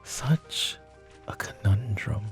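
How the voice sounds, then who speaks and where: A man voicing a smug, flirtatious mafia boss who teases and taunts the woman questioning him, in soft-spoken roleplay ASMR. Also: fairly low pitch, drawn-out words, soft background music. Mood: smug teasing. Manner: teasing, taunting, calls her pet names.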